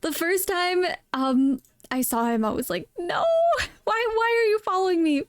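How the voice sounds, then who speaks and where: A woman's voice: very high voice, animated, normal speed, no room sound, clean studio sound. A woman hosting a casual interview chat, giggly, often repeating her words. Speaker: podcast host.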